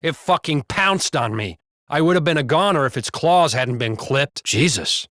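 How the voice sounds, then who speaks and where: Blunt, foul-mouthed American cop, close and dry. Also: male voice, on the high side, lively intonation, normal speed, fairly bright tone, no echo. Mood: irritated, griping. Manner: casual, blunt, profane wisecracking. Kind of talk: video game character banter.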